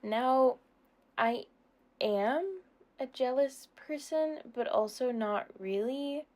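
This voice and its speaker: A woman's voice: high-pitched, expressive, takes it slowly, a little mellow. Casual, self-deprecating young woman chatting. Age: young adult. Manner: informal, hedging, self-deprecating. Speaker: ASMR roleplay creator answering subscriber questions. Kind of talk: casual Q&A chat.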